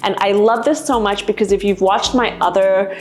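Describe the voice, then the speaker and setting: A woman's voice: mid-range voice, fairly even intonation, moderate pace, a little hiss or hum. A woman vlog host from Singapore giving a casual, chatty house tour indoors, explaining things conversationally to camera. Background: background music.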